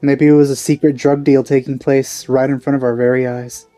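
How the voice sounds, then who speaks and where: A man's voice: medium pitch, flat delivery, brisk, dark tone, soft background music. A man giving casual gameplay commentary as a let's play host.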